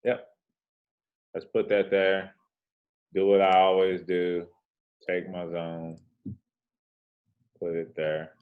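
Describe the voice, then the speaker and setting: Very deep-voiced laid-back man. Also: completely flat delivery, slow, pauses often, clear, resonant tone, phone-quality sound. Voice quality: soothing voice. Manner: laid-back, slangy, rambling asides. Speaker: forex trading host. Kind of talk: casual chart walkthrough.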